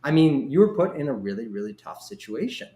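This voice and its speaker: A man with a mid-pitched voice hosts a conversational podcast interview. He sounds validating and works through points with "you know". The sound is clearly echoey, as if in a bare room. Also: sing-song, a little fast, mellow. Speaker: podcast host. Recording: roomy, echoing sound.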